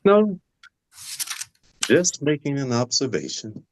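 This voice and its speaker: A man in a table read playing a brooding, unsettling patient who speaks haltingly and deliberately, with pauses. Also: mid-range voice, big pitch swings, a little hiss or hum. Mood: brooding, probing. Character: brooding, unsettling male patient, slow and probing. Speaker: actor in a script table read. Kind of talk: dramatic script reading.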